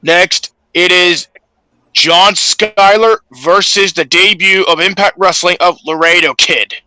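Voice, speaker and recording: A man co-hosting a podcast, recapping wrestling matches casually in a halting, stop-and-start delivery. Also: high voice, fairly even intonation, sharp tone, clean tone, no echo, poor audio. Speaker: podcast co-host.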